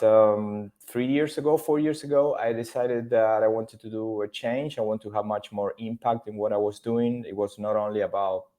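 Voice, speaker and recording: A man's voice: fairly low pitch, a little mellow. A man from Argentina, speaking as a podcast guest in a thoughtful, casual way with frequent fillers. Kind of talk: casual interview talk.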